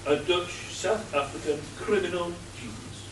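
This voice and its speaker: A man playing a clever, long-suffering butler in a comic radio play, deferential, measured and dry. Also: sits high, close to an everyday voice. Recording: echoey room, distant mic, noisy.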